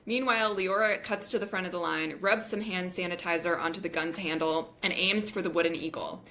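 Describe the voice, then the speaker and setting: A woman's voice: fairly low pitch, fairly even intonation, brisk, sharp tone. A woman reading screenplay stage directions as narrator in scripted table-read style.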